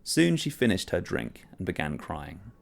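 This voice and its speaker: A man reading a short story aloud, telling it in a measured, wry first-person voice. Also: deep voice, sing-song, gravelly. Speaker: story reader. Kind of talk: scripted narration of a short story.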